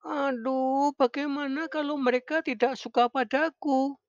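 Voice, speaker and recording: A man's voice: extremely high pitch, fairly even intonation, unhurried, dry recording, pitched above the performer's own voice. A man voicing a worried little monkey child in a storybook, with a dull recording. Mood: anxious. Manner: worried, fretful.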